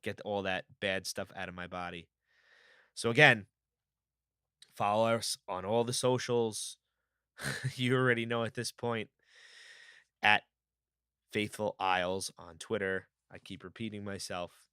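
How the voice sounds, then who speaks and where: A man hosting his own podcast in a casual, rambling, upbeat way, his voice slightly raspy from a cold. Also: lowish voice, steady tone, a little slow, pauses often, pushed and bright, no echo, clean studio sound. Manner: chatty, rambling, upbeat fan enthusiasm. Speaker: podcast host. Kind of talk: casual solo podcast talk.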